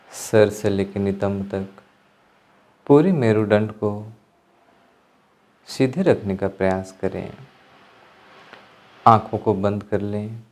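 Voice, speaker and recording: A man giving guided meditation instruction, speaking calmly in measured phrases with pauses as an instructor. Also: fairly low pitch. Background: music.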